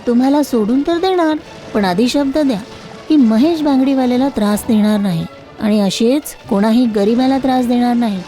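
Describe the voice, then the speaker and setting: A woman voicing a kindly goddess disguised as a young bangle seller, reassuring and firm when warning, in an animated moral story. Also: on the high side, moderate pace, soft background music, close to an everyday voice. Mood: stern warning.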